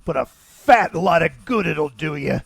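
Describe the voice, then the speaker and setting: A man voicing a cocky, thuggish supervillain in a comic dub, gravelly and taunting in slangy menace. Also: a little high, expressive, a little slow, no echo, exaggerated voice. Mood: mocking. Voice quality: gravelly, raspy. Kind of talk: comic book dub voice acting.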